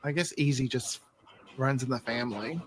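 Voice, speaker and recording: A man's voice: medium pitch, normal speed, slight background noise. A man co-hosting a casual podcast, bantering in a joking, self-deprecating way.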